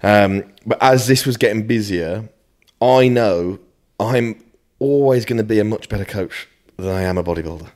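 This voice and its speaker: A man's voice: lowish voice, normal speed, few pauses, a little mellow. A British man in his early thirties chatting casually as a podcast guest, blunt, sweary and self-deprecating. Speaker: podcast guest, fitness coach. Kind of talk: casual chat.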